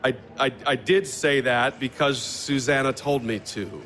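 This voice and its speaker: A man's voice: mid-range voice, unhurried, a bit bright, natural voice. A man playing a curt, contemptuous businessman in a stage comedy-drama, blunt and evasive with a cool formality. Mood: cool, dismissive. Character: curt, contemptuous businessman brushing off an unwanted date.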